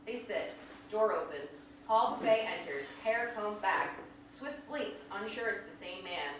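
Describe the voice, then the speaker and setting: A woman's voice: mid-range voice, animated, a little slow, fairly bright tone. A woman reading stage directions aloud as scripted narration. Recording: echoey hall, distant mic, faint noise.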